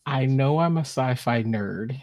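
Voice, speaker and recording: A man's voice: mid-range voice, a little slow, a little mellow, no room sound, clean studio sound. A man co-hosting a podcast in casual banter, questioning and nitpicking in a self-aware way. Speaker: podcast co-host.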